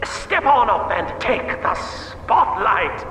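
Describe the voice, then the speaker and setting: A man's voice: high voice, big pitch swings, slow pace, echoey room, in a large hall, distant mic, full character voice, rough recording. An actor playing an unhinged, mutated company boss in a video game, a pompous showman taunting an intruder with theatrical menace. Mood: showman glee. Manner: pompous showman, swooping between purring menace and shrieks.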